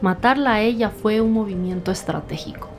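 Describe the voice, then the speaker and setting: A woman's voice: mid-range voice, brisk, darkish tone, faint music behind, natural voice. A woman playing a composed, businesslike senior detective in an audio drama. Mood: brisk, analytical. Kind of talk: dramatic podcast dialogue.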